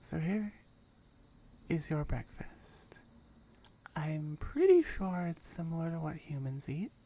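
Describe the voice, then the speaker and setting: Tiger girl played by a woman: territorial, firm, matter-of-fact ASMR roleplay. Low voice with a gravelly creak. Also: very animated, takes it slowly, warm tone, slight background noise, heavily acted, rough recording. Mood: firm, guarded. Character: firm, dominant tiger girl guarding her jungle territory.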